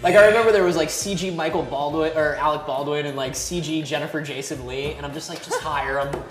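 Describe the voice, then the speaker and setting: A woman's voice: low voice, fast talker. A woman chatting casually as a panelist, giving opinions in a blunt, emphatic way. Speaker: panelist on a video game talk show. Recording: roomy sound with music behind.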